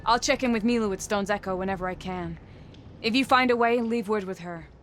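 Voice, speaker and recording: A woman's voice: mid-range voice, a little fast, fairly bright tone, slight background noise, close to an everyday voice. A woman playing an earnest young huntress. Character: proud young woman hunter, worried for a friend. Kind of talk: video game dialogue.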